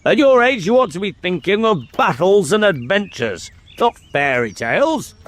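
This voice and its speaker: A man's voice: very high-pitched, wildly swooping pitch, normal speed, no room sound, voice pushed up, exaggerated voice. A man voicing a stern, short-tempered king and uncle in a storybook, imperious and scolding. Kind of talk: dramatic storybook character reading.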